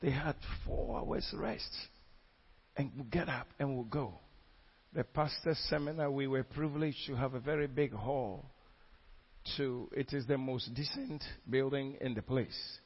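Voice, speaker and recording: A man's voice: mid-range voice, unhurried, slightly rough. A man preaching a sermon, telling of a crusade with fervent, exclamatory delivery and frequent repetitions. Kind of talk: sermon testimony. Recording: dull lo-fi recording.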